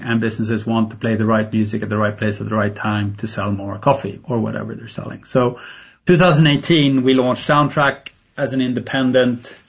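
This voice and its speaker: This is a Swedish man with a Swedish accent and a raspy, fry-laden voice that stays fairly flat. He speaks as a non-native speaker, answering an interviewer in a reflective, candid and conversational style. The sound is slightly dull. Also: medium pitch. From Sweden. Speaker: tech entrepreneur interviewed as a guest.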